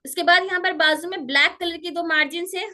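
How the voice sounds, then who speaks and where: A woman's voice: extremely high pitch, fairly even intonation, brisk, pushed and bright. A woman teaching a pronunciation class online, patiently repeating each term and checking that her students follow.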